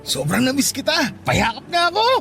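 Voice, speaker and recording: A man's voice: very high-pitched, wildly swooping pitch, fairly quick, vocal fry, sharp tone, exaggerated voice. A male actor with a Filipino accent playing an eager, trusting, affectionate older brother from the province in a radio drama. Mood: overjoyed, excited. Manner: exclamatory, swooping delivery. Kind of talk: emotional radio drama acting. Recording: faint music under dialogue. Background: faint music.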